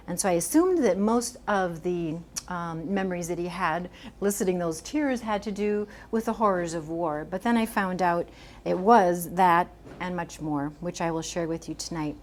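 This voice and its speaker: A soft-spoken woman in her sixties giving a gentle, chatty library talk as an author, often laughing a little. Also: lowish voice, a little hiss or hum. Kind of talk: informal public talk. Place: library meeting room.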